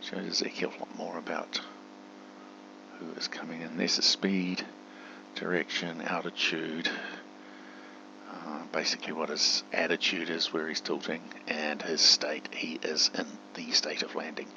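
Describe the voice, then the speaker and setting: A man's voice: rumbling low, level tone, a little slow, vocal fry, pushed and bright. A man giving casual, chatty gameplay commentary on a simulator, thinking aloud, in a dull home recording. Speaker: gamer narrating a simulator playthrough. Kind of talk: casual gameplay commentary with spoken radio commands. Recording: dull, muffled home recording with faint hum.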